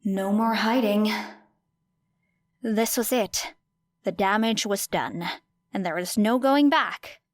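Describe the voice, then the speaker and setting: Female audiobook narrator: dramatic, expressive, measured pace, medium pitch, dry close sound. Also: no echo. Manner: deliberate, expressive phrasing with weighty pauses. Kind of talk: dramatic scripted fiction narration.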